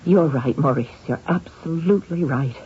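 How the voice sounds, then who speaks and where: An actress playing an effusive, touchy, anxious wife in an old-time radio drama, earnest and theatrical. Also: female voice, low voice, moderate pace, lightly acted. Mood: gushing, grateful. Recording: muffled, noisy old radio recording.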